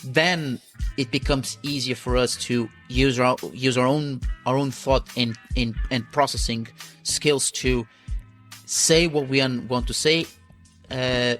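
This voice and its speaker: A man's voice: mid-range voice, steady tone, slow, a bit bright, faint music behind. A man with a Portuguese accent, a native Portuguese speaker, hosting an interview and speaking hesitantly with frequent restarts. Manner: hesitant, searching for words, many restarts. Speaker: podcast host. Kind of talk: casual interview talk.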